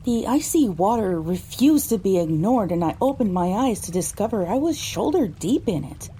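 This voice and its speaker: Mellow-voiced woman narrating a story. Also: mid-range voice, very animated, normal speed, a little hiss or hum, rough recording. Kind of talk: scripted audio drama narration.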